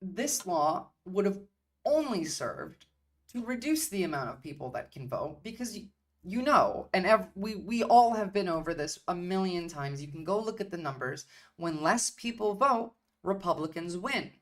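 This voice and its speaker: Blunt, profane woman streamer, low-voiced. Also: animated, moderate pace. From Turkey. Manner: blunt, profane, repeats points for emphasis. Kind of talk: livestream political commentary.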